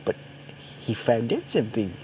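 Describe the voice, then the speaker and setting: A young man improvises a silly story for friends in a put-on cartoon parody voice, halting and often breaking into giggles. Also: medium pitch, wildly swooping pitch, mellow. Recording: noisy amateur home recording.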